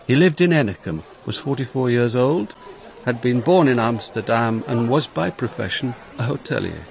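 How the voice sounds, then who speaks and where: An actor playing a shrewd, wry police inspector who narrates his case in a measured, reflective way for a radio drama. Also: mid-range voice, slight background noise. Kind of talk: radio drama first-person narration and dialogue.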